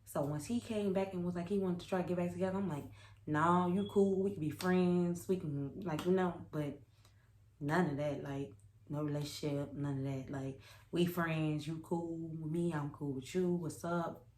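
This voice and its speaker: A young woman with a low voice chats casually as a storytime vlogger. She is sassy and emphatic, often repeating herself to make a point. The room around her adds a noticeable echo. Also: fairly even intonation, moderate pace, darkish tone, a little hiss or hum. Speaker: vlogger telling a personal story. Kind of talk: casual storytime chat to camera. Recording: roomy echo.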